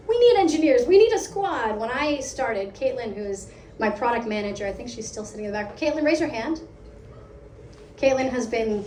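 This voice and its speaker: Brief: American woman presenting at a meetup, fairly high voice, lively and chatty, echoey hall, distant mic. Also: slight background noise. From United States. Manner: lively, conversational, asks the audience questions. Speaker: product lead giving a meetup talk. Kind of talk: live conference talk. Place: event hall.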